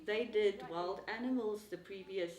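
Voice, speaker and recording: A woman's voice: medium pitch, normal speed, roomy sound, distant mic, crowd noise, rough recording. A woman teacher with an Afrikaans accent, a native Afrikaans speaker, talking eagerly about teaching, with many fillers. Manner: enthusiastic, rambling with fillers. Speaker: Sepedi language teacher. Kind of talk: interview answer.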